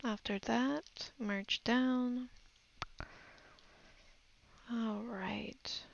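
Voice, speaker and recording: A woman narrates a digital art tutorial in an unscripted voice-over. Her delivery is slow and hesitant, with a creaky, fried edge to the voice. She muses to herself as she works through each step. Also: on the high side, fairly even intonation. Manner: hesitant, musing, thinking aloud. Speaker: digital artist giving a tutorial. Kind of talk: unscripted voice-over of a painting process.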